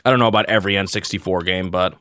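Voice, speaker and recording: A man's voice: deep voice, fairly even intonation, racing, pushed and bright, faint music behind. A young man from the United States chatting casually while playing a game, rambling and laughing often as he restarts his sentences. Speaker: gaming streamer.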